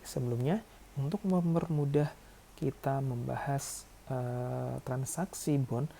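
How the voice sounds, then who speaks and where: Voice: mid-range voice, gravelly, warm tone, a little hiss or hum. A lecturer teaching in a tutorial style, talking through figures step by step with frequent check-in tags. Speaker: accounting lecturer. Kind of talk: tutorial narration, working through figures aloud.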